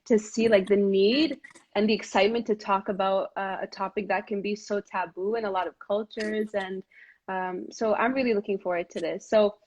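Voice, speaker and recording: A woman's voice: medium pitch, steady tone, normal speed, a little mellow, a little hiss or hum. A woman from Montreal hosting a live conversation, talking earnestly with lots of fillers and restarts. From Montreal, Canada. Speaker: host of a live video chat. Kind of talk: casual heartfelt conversation. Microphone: live stream device mic.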